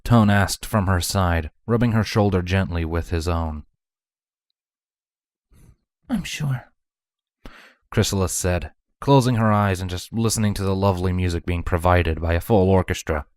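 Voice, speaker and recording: A man's voice: deep voice, fairly even intonation, normal speed, few pauses, a little mellow, clean tone, no echo, studio quality. A man reading scripted fantasy fiction aloud as a storybook narrator. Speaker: narrator, fan fiction reader.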